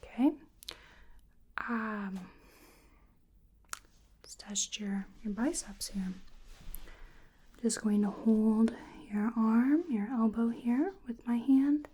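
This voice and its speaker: A woman speaks in a soft, breathy ASMR style, mostly in whispers. Her delivery is slow and gentle, with a hesitant murmur aimed right at the listener. The tone is dark and mellow, though pitched a touch high for all its softness. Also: fairly even intonation. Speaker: ASMR creator and roleplayer. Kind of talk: soft-spoken ASMR roleplay, largely whispered.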